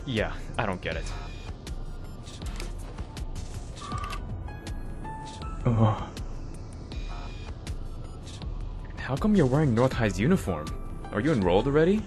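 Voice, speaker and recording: An actor playing a baffled high school boy in an anime fan dub, grumbling and incredulous. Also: sing-song, fast talker, darkish tone, faint music behind, lightly acted. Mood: puzzled, wry.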